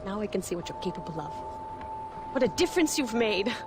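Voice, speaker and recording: An actress playing a passionate, hardened rebel commander in a video game drama, speaking with earnest emphasis. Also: female voice, mid-range voice, big pitch swings, a little fast, music in the background, natural voice. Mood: reflective, approving.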